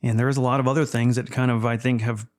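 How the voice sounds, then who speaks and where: A man in his fifties from Texas, Spanish his first language, talking in a measured, reflective way as a podcast guest. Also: mid-range voice, level tone. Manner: measured, reflective, with frequent fillers. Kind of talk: casual interview chat.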